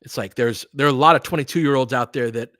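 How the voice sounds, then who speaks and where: A man's voice: mid-range voice, fast talker, a bit bright, no echo. Man chatting casually about sports, very dry sound. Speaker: host of an audio play-by-play fan stream. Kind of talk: casual sports chat, answering listeners during play.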